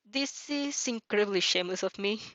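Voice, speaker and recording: A woman's voice: mid-range voice, fairly quick, fairly bright tone, no echo, dull audio, close to an everyday voice. A woman voicing a lost, grateful fourteen-year-old vampire girl in an audio fan story, earnest and effusive. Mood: anxious, pleading.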